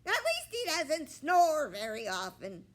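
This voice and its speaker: A woman voicing a brash, sharp-tongued storybook bird, squawky and loud, with a snappy, singsong delivery. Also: high voice, huge pitch swings, slow pace, pitched above the performer's own voice, heavily acted. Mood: indignant. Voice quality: squawky, cackling.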